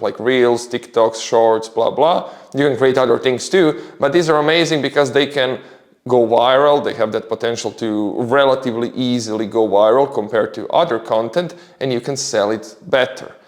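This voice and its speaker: A man's voice: mid-range voice, normal speed. Man giving upbeat career tips. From Croatia. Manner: upbeat, explanatory, enthusiastic. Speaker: creator giving career advice. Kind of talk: casual advice monologue.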